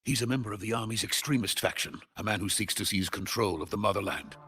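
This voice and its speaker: Wary captive scientist. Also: male voice, lowish voice, fast, fairly bright tone, slightly rough. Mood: grave. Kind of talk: dramatic video game acting.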